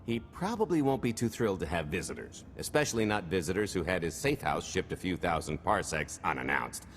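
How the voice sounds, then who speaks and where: An actor playing a smooth-talking roguish con artist in a video game, breezy, wry and sly. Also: male voice, low voice, sing-song, a little fast, a little hiss or hum. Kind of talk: video game cutscene acting.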